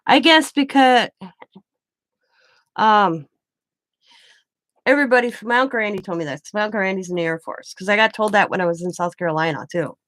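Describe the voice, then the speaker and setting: A woman's voice: mid-range voice, a little fast, pauses often, fairly bright tone, no echo. A woman from the Midwest, chatting casually and rambling while she crafts, laughing often. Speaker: craft vlogger.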